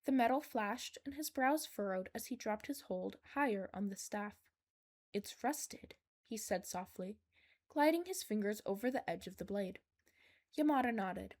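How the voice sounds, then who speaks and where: A woman reads a piece of fan fiction aloud. Her voice sits at an average pitch for a woman, and she delivers it as scripted narration. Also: animated, moderate pace, a little mellow.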